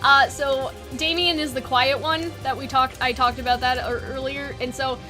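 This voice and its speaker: A woman's voice: high-pitched, fairly even intonation, moderate pace, music in the background. A woman chatting casually and informally, thinking aloud like a video creator brainstorming with fans. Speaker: online video creator and host. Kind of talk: casual fan brainstorming chat.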